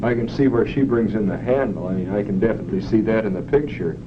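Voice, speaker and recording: A man's voice: lowish voice, fast talker. A man in a filmed test, describing pictures hesitantly and often restarting with "I mean". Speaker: test participant. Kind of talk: unscripted answers in an experiment. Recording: dull, hissy 1940s film soundtrack.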